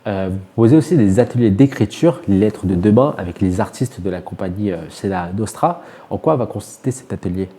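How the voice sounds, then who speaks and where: A man's voice: on the low side, expressive, a little fast, hardly any pauses, mellow. A man with a French accent from France, a radio interviewer putting prepared questions to a guest.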